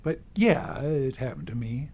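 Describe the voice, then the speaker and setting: A man's voice: medium pitch, big pitch swings, measured pace, darkish tone, a little hiss or hum. An actor playing a cranky, world-weary old man in the woods, with a folksy, grumbling manner, in a radio drama. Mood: bitter, grumbling.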